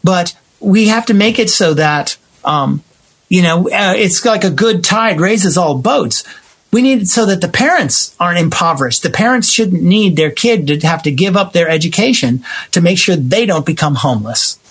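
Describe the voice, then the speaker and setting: American male, high voice with a raspy edge, emphatic conversational delivery. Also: animated, moderate pace, fairly bright tone. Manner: emphatic, acting out imagined quotes. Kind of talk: opinionated conversation about society.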